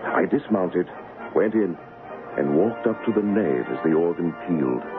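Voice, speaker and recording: An actor playing an earnest, honourable English gentleman in a radio drama, speaking in a measured way. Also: deep voice, animated. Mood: reflective, excited. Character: earnest, honourable English gentleman, royal look-alike and storyteller. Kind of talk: old-time radio drama acting and first-person narration. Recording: muffled vintage radio broadcast with music.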